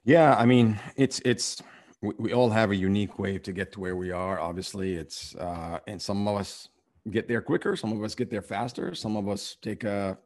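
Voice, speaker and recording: Brief: low-pitched man from Syria, interview guest, stumbling and earnest. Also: darkish tone. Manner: halting, many restarts and fillers. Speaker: podcast host and real estate investor. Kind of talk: conversational interview.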